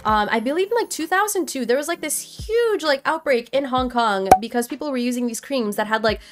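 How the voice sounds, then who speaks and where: Earnest young woman with animated pitch jumps. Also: a little fast. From Nepal. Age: young adult. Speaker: vlogger. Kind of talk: casual opinion talk to camera. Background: faint background music.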